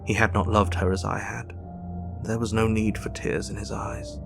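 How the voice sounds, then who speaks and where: An actor playing a grieving Victorian doctor who recounts his diary in formal, measured narration for an audio drama. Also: fairly low pitch, flat delivery, gravelly, over music. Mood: somber, grave. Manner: formal, measured literary storytelling.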